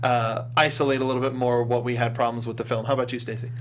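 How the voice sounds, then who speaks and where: A man's voice: medium pitch, level tone, fast talker, a bit bright, a little hiss or hum. A man hosting a casual panel discussion about films, talking with co-hosts. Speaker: host.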